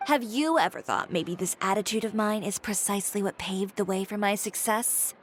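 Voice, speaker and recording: Actress as strong-willed young woman designer, composed, clipped, self-assured, medium pitch, video game character, faint background noise. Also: moderate pace, lightly acted. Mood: defiant, curt. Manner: curt, cool, self-assured.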